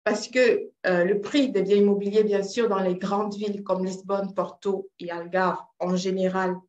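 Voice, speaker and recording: A woman's voice: medium pitch, steady tone, moderate pace, a little mellow. Cameroonian woman presenting on a video call. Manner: hesitant, many fillers and restarts. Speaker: real estate agent presenting a webinar. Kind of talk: online presentation. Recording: roomy, dull video-call audio.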